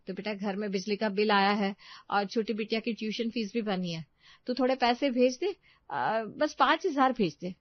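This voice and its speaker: A woman's voice: medium pitch, moderate pace, no echo, lo-fi sound, voice pushed up, lightly acted. A woman playing a pushy married elder sister in a cartoon story, coaxing and insistent. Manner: wheedling, insistent.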